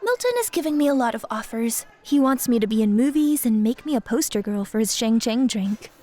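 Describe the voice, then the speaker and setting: A woman acts as a young singer working undercover in an audio drama. She sounds high-pitched, composed and quietly crafty. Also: animated, fairly quick. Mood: matter-of-fact, scheming.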